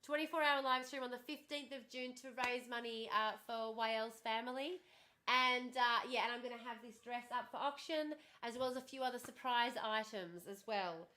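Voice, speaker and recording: Bubbly high-voiced woman streamer. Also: normal speed. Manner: bubbly, chatty, thanks viewers warmly and often. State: starting to lose her voice. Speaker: livestream host. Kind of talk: casual livestream chat with viewers.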